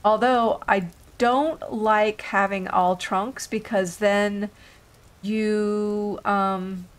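A woman's voice: mid-range voice, fairly even intonation, extremely slow. A woman hosting a casual let's play, thinking aloud unhurriedly while getting over an illness. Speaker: gaming video host.

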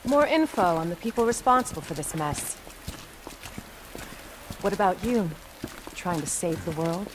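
A guarded, sardonic female investigator from a video game. Her American voice is on the low side, terse and deadpan. Clear ambient noise sits behind her. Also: unhurried. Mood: dry. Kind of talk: video game cutscene acting.

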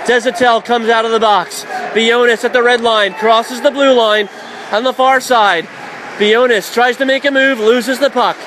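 A man calling hockey play-by-play on radio, rising to shouts when a goal goes in. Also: very high voice, steady tone, crowd noise, noisy background. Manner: rapid, shouting up on goals.

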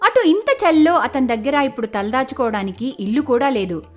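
A woman narrates a scripted folk story. Her voice is very high, with animated rises and falls. Faint music runs underneath her expressive delivery. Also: a little fast.

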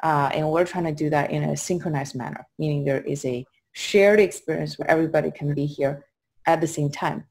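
A woman's voice: low-pitched, fairly even intonation, brisk, a little mellow. A young woman from China with a Chinese accent, giving conversational interview answers as a startup founder on a video call. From Qingdao, China. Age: young adult. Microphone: video call at desk distance.

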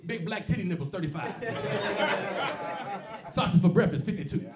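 A man's voice: high voice, expressive, normal speed, very noisy. A man in his thirties doing a loose, profane stand-up set on a small club stage, with a handheld mic. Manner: loose, profane, storytelling with act-outs. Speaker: stand-up comedian. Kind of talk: stand-up comedy routine. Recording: roomy club, distant mic, loud laughter.

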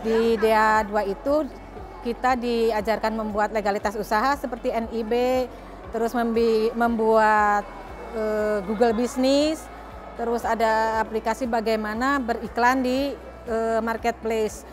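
A woman's voice: a little high, steady tone, a little slow, music in the background. A woman, a small business owner, giving an interview testimonial.

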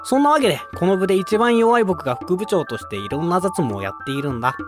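A performer acting a meek, friendly teenage boy, a self-deprecating club vice-president with a gentle, apologetic manner, in an amateur voice drama. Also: medium pitch, big pitch swings, quick pace, close to an everyday voice. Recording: music under the voice.

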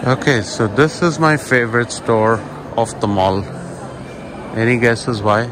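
A man giving relaxed, chatty commentary while walking through a large indoor shopping mall, filming on a handheld phone. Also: mid-range voice, a little slow, noisy background. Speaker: vlogger. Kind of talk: casual walking vlog narration. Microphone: handheld phone camera.

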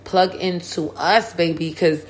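A hoarse woman hosting a hip hop and politics podcast, talking casually and full of slang and hype. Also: lowish voice, fairly even intonation, a little slow, slight background noise. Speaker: podcast host. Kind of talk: casual chat.

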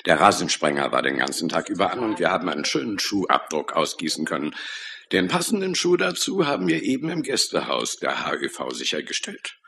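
An actor playing a blunt, sardonic police detective in a crime radio play, brusque and needling in manner. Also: male voice, deep voice, big pitch swings, fairly quick, sharp tone, heavily acted. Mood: brisk, smug.

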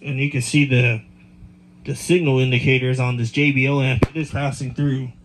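A man giving a casual hands-on demonstration as a hobbyist, explaining in a halting way with self-corrections. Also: medium pitch, little pitch movement. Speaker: hobbyist presenter. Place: cluttered bedroom, indoors. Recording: clear background noise, room ambience.

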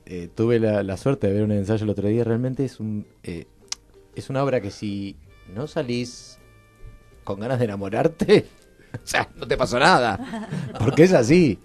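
A man's voice: lowish voice, normal speed, darkish tone, faint music behind. Joking Argentine male radio co-host. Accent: Argentine Spanish. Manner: jokey, laughing while talking. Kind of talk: casual radio chat. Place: small radio studio. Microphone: studio mic with headphones.

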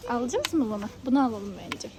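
A woman with a high-pitched voice talks to her little child. She coaxes in a sing-song way, repeating short questions. The recording has clear background noise from the location. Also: expressive, fast talker. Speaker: mother filming her young child. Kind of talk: casual child-directed chat.

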